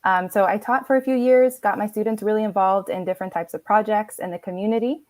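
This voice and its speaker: A woman's voice: medium pitch, fairly even intonation, fast talker, darkish tone, no room sound. Upbeat woman in a dry, close recording. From Philippines, raised in Florida. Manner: friendly, upbeat, frequent ums. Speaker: nonprofit program director. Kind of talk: casual video-call interview.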